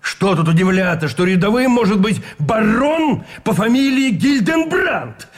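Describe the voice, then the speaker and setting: An actor playing a haughty, cynical army colonel in a radio play, speaking in an emphatic, declaiming manner. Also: male voice, sits high, lively intonation, a little slow. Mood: scornful. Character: haughty, cynical male army colonel pleading for patriotic sacrifice. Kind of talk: Russian radio play acting.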